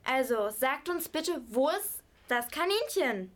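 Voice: high-pitched, very animated, takes it slowly, fairly bright tone, full character voice. A female performer playing a shrewd, stern mother in an amateur school radio play.

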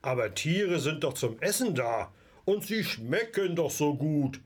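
A man's voice: fairly high pitch, very animated, a little slow, breathy edge, heavily acted. A male amateur playing a stubborn, skeptical grandfather in an audio drama. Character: skeptical old grandfather, stubborn meat-eater.

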